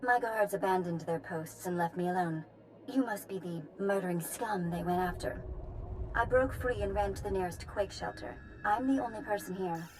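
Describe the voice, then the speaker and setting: A woman's voice: mid-range voice, normal speed, darkish tone, slight background noise, lightly acted, poor audio. Woman playing a frazzled, blunt scientist. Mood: anxious, irritated. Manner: blunt, urgent. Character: frazzled, outspoken female scientist in danger. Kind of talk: video game voice acting. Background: game music.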